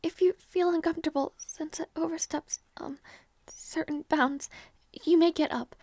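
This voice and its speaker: A woman voicing a shy, flustered young princess who talks softly and hesitantly, often in a whisper and with stammers. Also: extremely high pitch, fairly even intonation, normal speed, no echo. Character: shy, flustered young princess waking beside her knight. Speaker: audio roleplay performer. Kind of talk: intimate audio roleplay.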